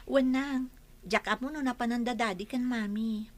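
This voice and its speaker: A woman playing a gentle, patient young married daughter in an Ilocano radio drama, polite and soothing. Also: a little high, steady tone, normal speed, natural voice. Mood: welcoming.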